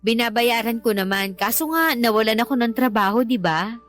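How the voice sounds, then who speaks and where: A woman's voice: on the high side, fairly quick, a bit bright, close to an everyday voice. An actress playing a defensive, easily irritated live-in girlfriend in a Tagalog radio drama, whining and argumentative. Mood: uneasy confessing. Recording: dramatic music bed behind the voice.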